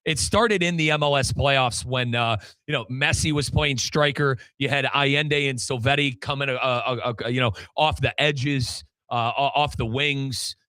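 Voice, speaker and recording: A man's voice: mid-range voice, fairly even intonation, normal speed, few pauses, sharp tone, smooth, dry recording. A man hosting a sports radio show, talking in an emphatic way like a football commentator. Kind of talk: animated sports talk with sponsor reads.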